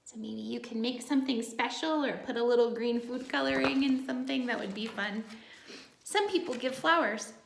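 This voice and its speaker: A woman's voice: on the high side, normal speed, vocal fry, reverberant, noisy background. A woman teacher reading a picture book aloud to young children, adding simple, encouraging asides as she goes.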